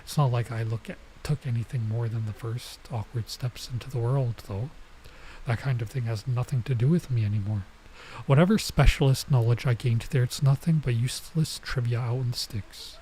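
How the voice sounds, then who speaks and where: A man's voice: mid-range voice, normal speed, a little mellow, breathy edge, rough recording. A man doing a let's play, reading a visual novel aloud and breaking off for casual side comments. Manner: stops to remark on and reread the text.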